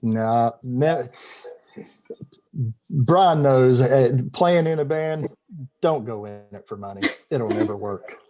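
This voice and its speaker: A man's voice: medium pitch, slow pace, long pauses, warm tone, low-quality recording. A middle-aged man, talking as a guest on a video call interview, rambling and repeating words as he goes. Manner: talkative, stumbles and repeats words, laughs easily. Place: home room.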